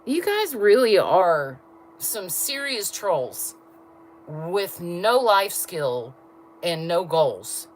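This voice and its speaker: A woman with a low voice chatting with viewers on a livestream, blunt and laughing often. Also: very animated, very slow, a little hiss or hum. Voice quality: low voice register. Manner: blunt, talks straight to chat, laughs often. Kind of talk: casual livestream chat with viewers.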